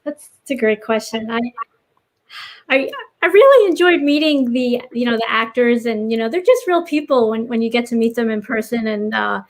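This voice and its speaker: Higher-voiced woman, earnest interview guest. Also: normal speed. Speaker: writer, podcast guest. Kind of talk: casual interview chat.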